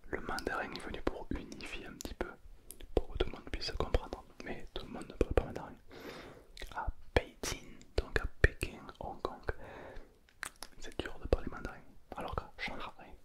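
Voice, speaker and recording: A man, giving a playful whispered ASMR lesson as a language teacher. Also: moderate pace. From southern France. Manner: playful, joking asides.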